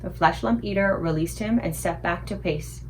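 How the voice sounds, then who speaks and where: A woman reading a children's book aloud in an expressive storytelling style. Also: fairly low pitch, moderate pace, slight background noise, poor audio. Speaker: read-aloud book reader.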